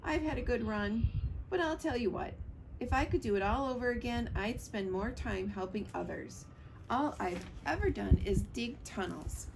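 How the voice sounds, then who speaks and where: A woman's voice: on the high side, lively intonation, normal speed, creaky, a little hiss or hum, low-quality recording. Woman voicing a folksy old burrowing animal, emphatic. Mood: regretful, earnest. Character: repentant old digging animal, folksy and grateful. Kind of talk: storybook character voice.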